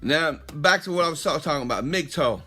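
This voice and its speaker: A fired-up middle-aged man with a drawl, his voice bright and a touch raised. He lectures his listeners directly, stumbling and restarting as he pushes his point. Faint music runs under his voice. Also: on the high side, animated, brisk. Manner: impassioned, preachy, addresses listeners directly, frequent restarts. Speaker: podcast host. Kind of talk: opinionated monologue.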